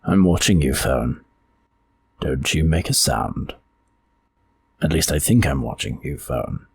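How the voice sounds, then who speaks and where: A man playing a wry, formal, bemused royal advisor in an audio drama, with droll, deliberate, wordy musing. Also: lively intonation, slight background noise, exaggerated voice. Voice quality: very deep, gravelly. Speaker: amateur voice actor. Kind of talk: theatrical in-character ad-libbing in audio drama outtakes.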